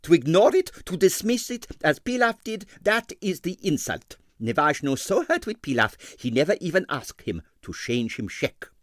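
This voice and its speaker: A man's voice: on the high side, big pitch swings, normal speed, hardly any pauses, no echo, studio quality, pitched above the performer's own voice. A man voicing a sentimental, money-minded ballet impresario in a storybook, effusive and theatrical, with a thick foreign accent and broken English. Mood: dramatic, earnest. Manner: theatrical, effusive, French interjections.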